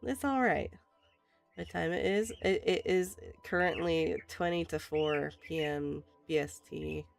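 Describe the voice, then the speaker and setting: Woman streamer, hoarse and raspy, gravelly voice, laughs a lot, faint music behind. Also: measured pace. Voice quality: raspy, lower than usual. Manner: laughs often, reassuring, rambling. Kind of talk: casual chat while drawing.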